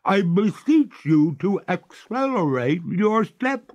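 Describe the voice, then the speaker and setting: A man voicing a genteel female water ghost who pleads and protests in a high, drawn-out voice. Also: big pitch swings, a little mellow, dull audio, exaggerated voice. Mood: pleading.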